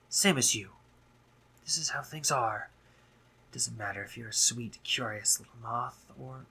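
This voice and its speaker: A man playing a brooding, sardonic drider monster, a guarded but caring doctor, in an intimate roleplay audio drama, speaking formally with halting pauses. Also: mid-range voice, pushed and bright, breathy edge, full character voice, poor audio. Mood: angry, bitter.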